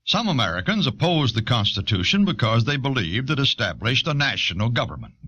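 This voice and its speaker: A man reading a scripted educational history narration in a measured, explanatory manner on an old cassette. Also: mid-range voice, big pitch swings, sharp tone, breathy edge, dry recording. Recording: dull old cassette audio.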